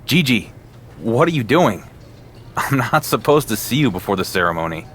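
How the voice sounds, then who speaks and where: A man's voice: mid-range voice, very animated, normal speed, fairly bright tone, a little hiss or hum. An actor voicing an earnest male wrestling champion in a video game cutscene, talking in a plain, wary way.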